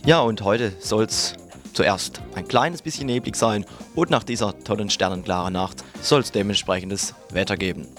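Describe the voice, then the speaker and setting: A man hosts a morning radio show with informal live announcements. His voice is on the low side, and guitar music plays behind him. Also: normal speed.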